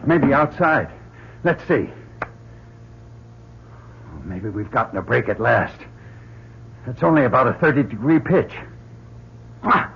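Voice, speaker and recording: A man playing a steady, protective astronaut commander with clipped, earnest speech in an old radio drama. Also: medium pitch, takes it slowly, vocal fry, pauses often. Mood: tense calm. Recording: muffled, noisy old radio recording.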